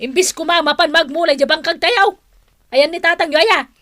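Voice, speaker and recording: A woman's voice: very high-pitched, normal speed, pushed and bright, no room sound, clean studio sound. A woman with an Ilocano accent playing a cranky, nagging mother of grown children in a radio comedy drama. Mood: nagging, exasperated. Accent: Ilocano, northern Philippines. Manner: scolding, complaining.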